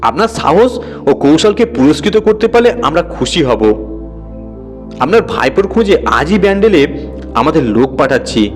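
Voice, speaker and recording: A man's voice: fairly high pitch, very animated, moderate pace, in a large hall, soft background music. A man voicing a courteous, confident police inspector with an assured official manner in an audio story.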